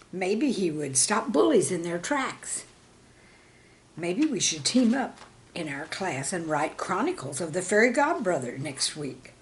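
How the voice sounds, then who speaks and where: A woman in her sixties, a teacher reading humorous classroom anecdotes in an expressive, deliberate storytelling manner. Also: fairly low pitch, slight background noise. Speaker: retired or veteran teacher, storyteller host. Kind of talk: scripted humorous anecdote narration.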